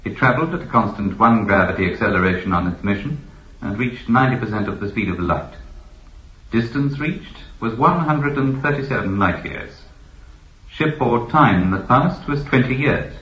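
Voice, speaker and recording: An actor playing a formal, authoritative keeper of an ancient imperial library in a science fiction radio drama. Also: male voice, mid-range voice, expressive, normal speed, highs cut off, a little hiss or hum, natural voice. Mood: informative, detached. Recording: echoey, band-limited old radio recording.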